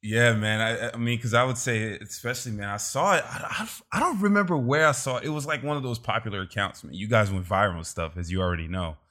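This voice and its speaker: Male podcast host, low register, relaxed and talkative, interviewing remotely. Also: quick pace, few pauses. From Los Angeles. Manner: laid-back, says "man" a lot, laughs often. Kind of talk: casual video-call interview. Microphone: remote call.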